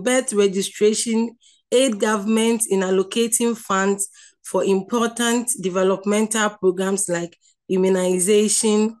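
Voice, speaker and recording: A woman with a Ghanaian accent giving an online lecture over slides, explaining slowly with many restarts and filler sounds. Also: mid-range voice.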